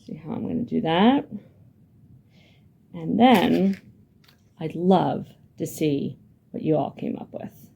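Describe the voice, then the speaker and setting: A woman's voice: low voice, animated, takes it slowly, vocal fry, long pauses, dark tone, breathy edge. A woman children's librarian leading a hands-on building lesson, asking the young viewers lots of encouraging questions. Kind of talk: hands-on craft lesson for kids.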